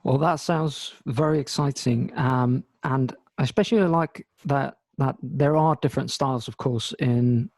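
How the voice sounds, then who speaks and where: A man's voice: medium pitch, a little slow, few pauses, darkish tone. A man hosting an online interview, friendly and upbeat as he introduces his guest and asks questions. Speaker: interview host.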